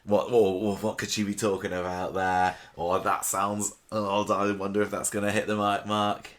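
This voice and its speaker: A man hosting a casual podcast chat, with a slightly croaky voice and a chatty, rambling way of talking. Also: on the low side, monotone, normal speed, a bit bright. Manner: chatty, restarts and fillers. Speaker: podcast host.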